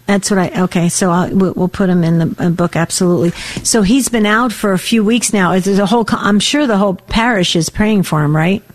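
An earnest woman hosting a call-in prayer show on the radio. She encourages her callers gently, often restarting her sentences as she talks. Her pitch sits in the middle of her range. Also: brisk, few pauses. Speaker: radio host.

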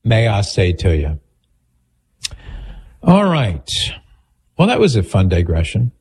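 Deep, husky male radio host. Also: slow pace. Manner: calm, attentive, restates the caller's points. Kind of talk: call-in radio talk.

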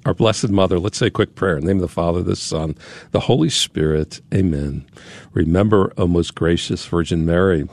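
A man hosting a fundraising radio broadcast, slightly hoarse while getting over a cold, friendly and leading a prayer. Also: lowish voice, dry recording. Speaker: radio host. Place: radio studio.

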